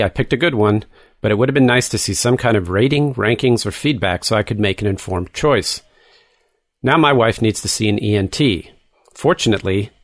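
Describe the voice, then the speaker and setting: A man's voice: lowish voice, no echo, clean studio sound. A man reading a scripted, first-person podcast advertisement as a host.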